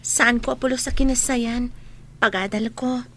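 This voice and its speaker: A woman's voice: a little high, fairly even intonation, moderate pace, fairly bright tone. A woman playing a dutiful, earnest working daughter in a radio drama, with an Ilocano accent.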